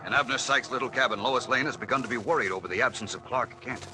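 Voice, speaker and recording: A man's voice: mid-range voice, fast talker, poor audio. Suspenseful male radio announcer over music. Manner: grave, suspenseful. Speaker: narrator, announcer. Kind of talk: dramatic scripted 1940s radio narration. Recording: old radio recording.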